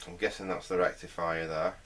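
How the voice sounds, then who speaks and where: A man's voice: deep voice, flat delivery, brisk, a bit bright. A middle-aged man gives casual hands-on repair commentary, hesitantly thinking aloud as he works at an indoor bench. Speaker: vintage radio restorer, presenter. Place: indoor workbench.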